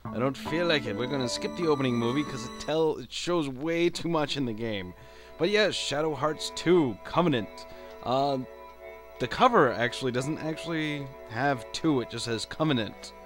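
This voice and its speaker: A man's voice: mid-range voice, normal speed, music in the background. A man hosting a let's play, rambling casually and self-deprecatingly as he comments on a video game.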